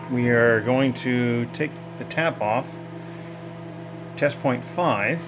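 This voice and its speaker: A man's voice: medium pitch, creaky, low-quality recording. Man thinking aloud through a hands-on demonstration. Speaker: electronics hobbyist demonstrating test equipment.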